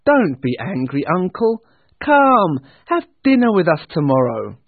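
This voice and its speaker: A man voicing a cheerful, earnest young gentleman in a storybook, hearty and pleading. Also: high voice, very animated, a little slow, creaky, no echo, voice pushed up, exaggerated voice. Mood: pleading, warm. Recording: thin, phone-like narrow-band sound.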